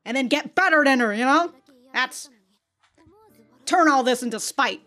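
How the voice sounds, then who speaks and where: High-voiced woman, slangy casual reactor. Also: lively intonation, a little slow, pushed and bright, soft background music. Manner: slangy, freely swearing. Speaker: host of an anime reaction show.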